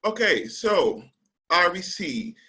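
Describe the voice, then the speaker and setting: A man from Trinidad and Tobago leads a webinar as a recruitment specialist, with a bright, forward tone. He talks in a measured way, pausing on ums between points. His voice comes through a remote call microphone. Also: high-pitched, big pitch swings, husky. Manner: measured, with frequent ums and slide cues. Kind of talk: webinar presentation.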